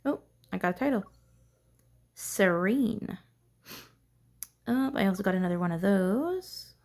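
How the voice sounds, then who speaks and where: Slow-paced woman musing through a game. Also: mid-range voice, lively intonation, darkish tone. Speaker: gamer narrating own playthrough. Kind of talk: casual gameplay commentary, thinking aloud.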